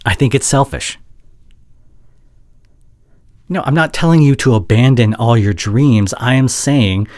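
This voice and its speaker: A man acting a conflicted doctor and caring ex-boyfriend in an intimate roleplay drama, halting and earnest, with a husky, breathy voice. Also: medium pitch, darkish tone, no echo, lightly acted. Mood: frustrated, defensive. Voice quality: husky, breathy, often dropping to a whisper.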